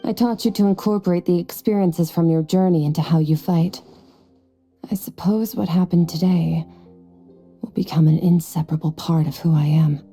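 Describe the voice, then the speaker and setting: A woman playing an aloof, stoic young warrior and mentor in a video game, speaking in a cool, measured, matter-of-fact manner. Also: fairly low pitch, fairly even intonation, warm tone, slightly rough, soft background music. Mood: solemn. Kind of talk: video game character acting.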